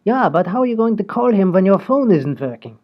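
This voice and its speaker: Comedy podcast professor, male performer: from Munich, deadpan, dim and literal-minded, lo-fi sound. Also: high voice, brisk. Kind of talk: comedy radio play acting. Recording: dull lo-fi podcast audio.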